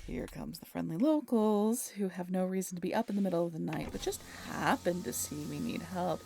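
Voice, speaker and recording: A woman's voice: fairly low pitch, brisk, gravelly, darkish tone, slight background noise. A woman playing a snarky, teasing female vampire in an audio roleplay, talking to the listener in a wry, conversational way. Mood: sarcastic. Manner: sardonic, wry, playful, conversational. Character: snarky, swearing female vampire road-tripper, teasing and dramatic.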